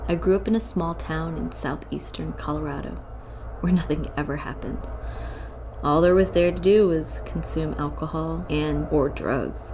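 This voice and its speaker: A woman's voice: sits low, low-quality recording. A woman playing a young college student of the occult, narrating her story in a wry, world-weary, confiding way for an audio drama. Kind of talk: first-person scripted audio drama narration.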